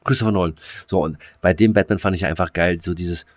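A man's voice: low voice, fast, no echo, studio quality. A man co-hosting a podcast in casual chat, rambling with many fillers and restarts. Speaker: podcast co-host.

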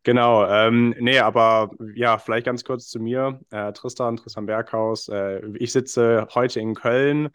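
A man's voice: mid-range voice, fairly even intonation, measured pace, few pauses, fairly bright tone, clean tone, no room sound. A man in his thirties from England, a podcast guest explaining his business in a friendly way with many fillers. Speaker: podcast guest, wine investment founder. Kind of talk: casual interview talk.

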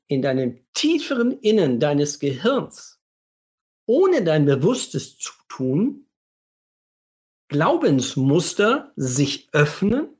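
A man with a scratchy, husky voice, slightly under the weather, giving an emphatic explanatory livestream monologue as a hypnotherapist, stretching key phrases and posing rhetorical questions. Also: on the high side.

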